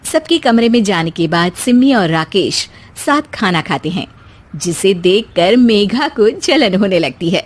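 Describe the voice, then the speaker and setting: A woman's voice: medium pitch, lively intonation, a little slow. A woman narrating a scripted family tale as a storyteller.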